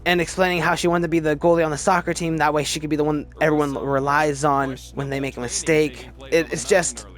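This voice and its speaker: Chatty higher-pitched man ranking movies. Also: steady tone, faint music behind. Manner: chatty, halting, restarts sentences mid-word. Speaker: movie review video host. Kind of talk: casual ranked-list movie commentary.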